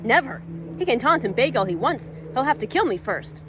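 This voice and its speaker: A woman's voice: high voice, sing-song, brisk, noisy background, voice pushed up. A woman reader voicing a defiant, protective mother in a fantasy story, speaking firmly and emphatically. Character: defiant, fierce young mother protecting her children.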